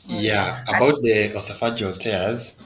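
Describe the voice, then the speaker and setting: A man's voice: fairly low pitch, fairly even intonation, unhurried, fairly bright tone. A young man, a trainee asking questions in class, hesitant and repeating words as he thinks aloud. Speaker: radiology trainee. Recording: faint background noise.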